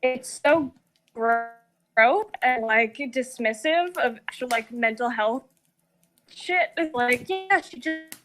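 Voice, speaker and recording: A woman's voice: fairly high pitch, lively intonation, takes it slowly, a bit bright, soft background music. A woman giving sardonic opinions in a casual conversation, often paraphrasing others with mocking mimicry. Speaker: guest co-discussant. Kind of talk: casual opinionated chat about a TV series.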